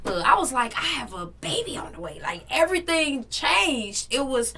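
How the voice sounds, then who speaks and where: A young woman co-hosting a podcast, telling personal stories in an animated, chatty way and talking straight to listeners. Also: high voice, moderate pace, breathy edge. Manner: animated, laughing, addresses listeners directly. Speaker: podcast co-host. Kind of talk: casual storytelling chat.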